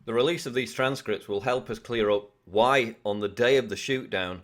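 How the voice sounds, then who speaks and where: A man's voice: medium pitch, normal speed. A man delivering political news commentary in an insistent, questioning manner. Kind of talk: scripted news commentary to camera.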